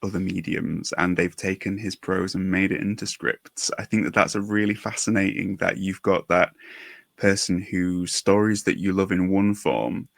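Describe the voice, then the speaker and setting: A man hosts a casual podcast interview with effusive praise for his guests. His voice sits on the low side with plenty of fry, and the sound is close and dry. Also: steady tone, normal speed, a bit bright, dry recording. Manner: effusive, complimentary. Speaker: podcast host.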